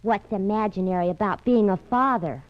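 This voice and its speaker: An actress playing a chirpy, naive, nosy young secretary in 1940s radio comedy style, gushing and wide-eyed. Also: female voice, mid-range voice, normal speed, natural voice. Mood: excited curiosity. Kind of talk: 1940s radio comic acting. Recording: dull noisy 1940s radio recording.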